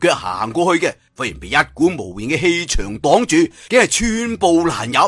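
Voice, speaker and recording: Animated Cantonese storyteller, husky bright man. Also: on the high side, normal speed. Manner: dramatic, conversational asides to listeners. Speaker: audiobook storyteller. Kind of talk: animated traditional oral storytelling of martial-arts tales.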